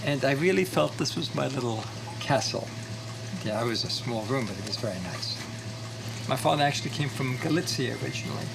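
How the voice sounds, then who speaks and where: A man's voice: medium pitch, expressive, a little fast, creaky. An older man revisiting his childhood home, reminiscing in a friendly, chatty way for a documentary. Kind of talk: documentary walk-and-talk. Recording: loud street and traffic noise.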